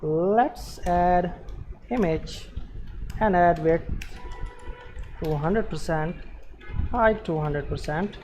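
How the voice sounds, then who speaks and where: A man presenting an unscripted screen-share programming tutorial, giving halting step-by-step instructions in short phrases. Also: high voice, dark tone, slight background noise.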